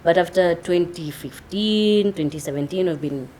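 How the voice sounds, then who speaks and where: A woman's voice: fairly low pitch, darkish tone, a little hiss or hum. A woman from Kenya interviewing a director, asking curious questions and readily agreeing. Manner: curious, agreeable, quick to affirm. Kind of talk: sit-down interview.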